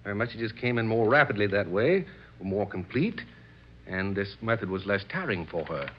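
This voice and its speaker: An actor playing an excitable, courteous gentleman who is devoted to séances, in a theatrical old radio drama style with effusive, old-fashioned clubman's speech. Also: lowish voice, normal speed, a little hiss or hum, close to an everyday voice. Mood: enthusiastic. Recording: dull old radio recording.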